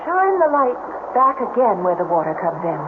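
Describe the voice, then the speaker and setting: An uneasy, spellbound cave explorer with a high, very expressive voice. It is a radio horror play, heard thin and buried in loud noise. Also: female voice, normal speed. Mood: eerie, entranced. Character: uneasy, entranced woman drawn to cave monster. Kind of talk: radio drama acting. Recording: tinny, very noisy old radio broadcast.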